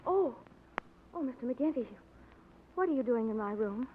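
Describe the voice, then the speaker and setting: An actress playing a genteel, earnest wife and young mother in a 1940s radio drama, speaking politely and gently. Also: on the high side, expressive, moderate pace, husky. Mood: shy, polite. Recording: tinny narrow old radio recording.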